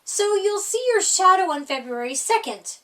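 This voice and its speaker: Woman doing a vain, high, wheedling hare. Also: expressive, normal speed, fairly bright tone, far from the mic, rough recording. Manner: wheedling, gushy.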